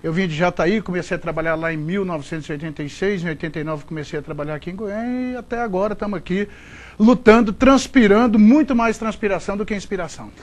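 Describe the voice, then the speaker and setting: A man's voice: high-pitched, fast talker. A middle-aged man from Goiás with a Goiás Brazilian accent, a sports broadcaster giving chatty, rambling interview answers. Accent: Goiás Brazilian Portuguese. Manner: chatty, rambling, frequent fillers and restarts.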